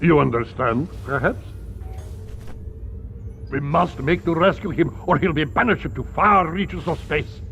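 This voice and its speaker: An actor voicing an eccentric, boastful alien scientist in a video game, theatrical and grandiose, with a mock Russian accent. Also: male voice, on the high side, measured pace, pauses often, fairly bright tone, dull audio, faint music behind. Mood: boastful, scheming. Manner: theatrical, grandiose, broken grammar. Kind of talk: animated video game voice acting.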